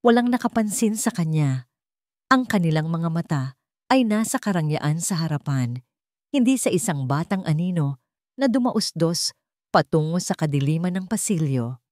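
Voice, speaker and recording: A woman narrating a scripted story in a dramatic, suspenseful way, in deliberate short phrases. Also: lowish voice, animated, darkish tone, dry recording, clean studio sound.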